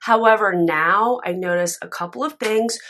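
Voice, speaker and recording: Bright-voiced young woman, raspy and stuffy. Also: medium pitch, normal speed, slight background noise. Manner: chatty, candid and expressive, with frequent fillers. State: raspy and stuffy after a nap. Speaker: beauty vlogger. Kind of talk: casual makeup review to camera. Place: home room with candle and plants, indoors. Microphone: camera in front, sometimes very close.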